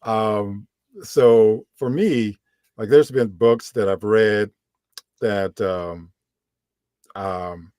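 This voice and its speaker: Deep-voiced man, relaxed and dry. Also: very slow, pauses often, clean tone, no room sound. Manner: relaxed, many fillers and restarts. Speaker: audiobook narrator, guest. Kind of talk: casual interview talk.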